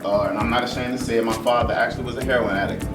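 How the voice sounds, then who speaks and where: A man's voice: mid-range voice, fairly even intonation, rapid-fire. A man speaking earnestly and emphatically in a panel discussion, repeating phrases as he gives personal testimony. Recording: echoey, distant mic, loud music.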